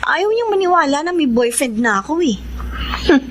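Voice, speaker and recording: Young Filipina sweetheart, high voice, earnest, firm, radio drama, faint ambient noise. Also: female voice, sing-song, normal speed. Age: young adult. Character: earnest young woman, firm with an unwanted suitor.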